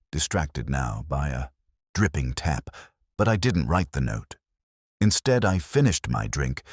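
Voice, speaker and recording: A man playing a brooding, erudite middle-aged detective, narrating an audio drama in measured, reflective, literary phrases. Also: a bass voice, husky, no room sound, studio quality, full character voice. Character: brooding, erudite male English police detective, melancholy, observant.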